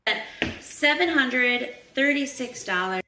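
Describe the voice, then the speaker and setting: A woman's voice: high voice, lively intonation, a little slow, bright, forceful tone. A woman talking casually and chattily as in a shopping haul vlog, listing items aloud. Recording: faint background noise.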